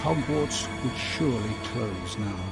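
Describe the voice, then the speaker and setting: A man's voice: fairly low pitch, animated, slow, loud music behind the voice. A man narrating as a solemn storyteller of a wizard tale in a video game, scripted and dramatic.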